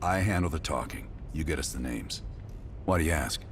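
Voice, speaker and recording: An actor playing a gruff, calculating, cool-headed veteran spy team leader in a video game, speaking in terse, dry, measured lines. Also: male voice, animated, slight background noise. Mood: calm, confident. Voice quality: low gravelly voice. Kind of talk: cinematic game dialogue acting.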